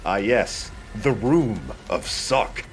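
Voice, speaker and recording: Animated man casually commentating on a game. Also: medium pitch, slow, faint music behind. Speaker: let's play host and player.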